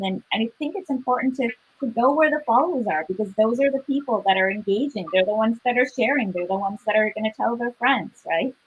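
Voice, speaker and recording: A woman with a somewhat high, husky voice speaks as a panelist. She offers practical advice in a conversational style. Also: rough recording. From born in Sri Lanka, moved away at five to grow up in Canada. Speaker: panelist, influencer.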